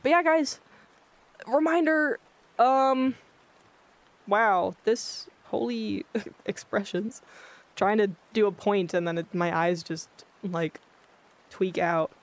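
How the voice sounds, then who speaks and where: A livestreamer chatting casually with viewers in a monotone, blunt-sounding way, often losing their train of thought. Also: medium pitch, slow, long pauses. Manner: rambling, loses track of thoughts. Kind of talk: casual chat with live viewers. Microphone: filtered streaming mic.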